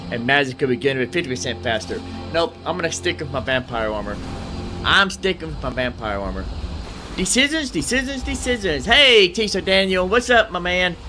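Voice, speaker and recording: A man giving casual live game commentary, thinking aloud in a folksy way and repeating his phrases. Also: medium pitch. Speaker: gaming streamer.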